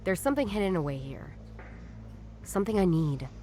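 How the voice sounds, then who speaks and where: An actress playing a curt, sardonic detective in a noir video game, talking in a dry, brusque and impatient way. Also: female voice, on the low side, sing-song, brisk, warm tone. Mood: musing, low.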